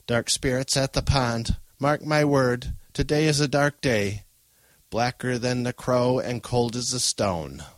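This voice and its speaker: A man voicing a frail, superstitious old grandmother with a creaky, trembling voice, giving foreboding warnings in an audiobook. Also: medium pitch, unhurried, dry recording, close to an everyday voice. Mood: ominous. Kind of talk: audiobook character voice.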